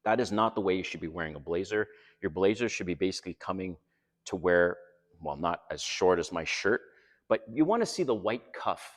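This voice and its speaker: A man's voice: deep voice, lively intonation, fairly quick, in a large hall. An older man from Canada with a Canadian accent, giving blunt, frank dating advice straight to his viewers in a casual monologue.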